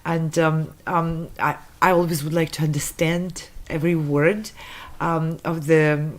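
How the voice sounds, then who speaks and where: A woman whose first language is Russian, moderating a music jury, giving gracious feedback with many pauses and fillers. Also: low-pitched, little pitch movement. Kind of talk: panel feedback and announcing.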